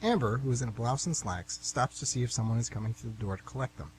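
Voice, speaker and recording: A man's voice: medium pitch, fast talker. A man hosting an amateur radio theatre show, chatty and informal as he introduces and narrates. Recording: amateur radio, faint background hum.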